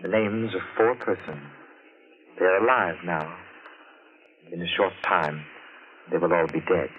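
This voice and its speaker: An actor playing a burned dead man back from the grave, slow and ominous, in 1940s radio horror style. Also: low-pitched, steady tone. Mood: quietly ominous. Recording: dull old radio recording.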